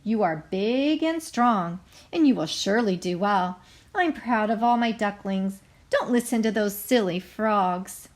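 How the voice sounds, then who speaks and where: A gentle mother duck from a children's storybook, read by a woman. She is proud and loving, speaking tenderly and reassuringly with a lively, swooping melody. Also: mid-range voice, measured pace, close to an everyday voice.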